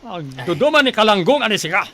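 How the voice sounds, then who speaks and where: Sharp, high-pitched, blustering boss. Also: male voice, sing-song, fast talker, exaggerated voice. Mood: angry, scolding. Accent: Ilocano. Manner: refers to himself in third person, blustering. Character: pompous, irascible rich boss barking orders. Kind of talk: comic Ilocano radio drama acting.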